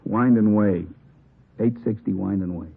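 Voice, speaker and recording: An American man playing an uneasy arrested gangster, bargaining as he informs, in a husky voice for a 1950s radio drama. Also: fairly low pitch, measured pace. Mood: resigned. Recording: muffled old radio recording.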